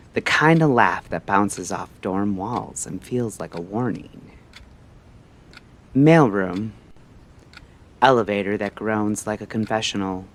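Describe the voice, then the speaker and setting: A man narrating a scripted story like a late-night radio host, in a measured, theatrical way with short phrases and pauses. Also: lowish voice, a bit bright.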